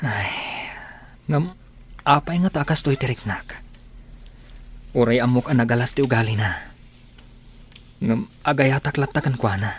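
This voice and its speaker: A man's voice: medium pitch, measured pace, pauses often, slightly rough. An Ilocano Filipino man playing a lovelorn, good-natured young village suitor in a radio drama.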